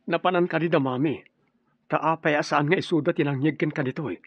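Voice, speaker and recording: A man's voice: a little high, brisk, breathy edge, dry recording, highs cut off. A man with an Ilocano accent playing an indignant young husband who defends his sick wife in a radio drama. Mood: concerned.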